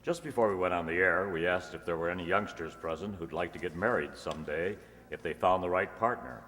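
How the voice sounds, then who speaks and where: An upbeat male radio announcer acted out by a man with a deep voice. He delivers formal, salesy 1940s sponsor copy, polished and upbeat, in a reverberant hall. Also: a little fast, fairly bright tone.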